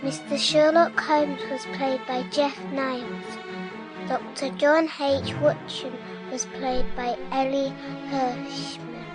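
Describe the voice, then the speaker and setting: Female announcer for scripted credits: high, youthful-pitched voice, slow reading, music underneath. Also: rough recording. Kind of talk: scripted narration.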